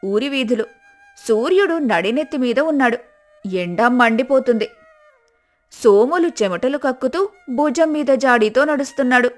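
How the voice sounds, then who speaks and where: A woman's voice: high voice, lively intonation, moderate pace, long pauses, faint music behind. A woman narrating a scripted folk tale as storyteller.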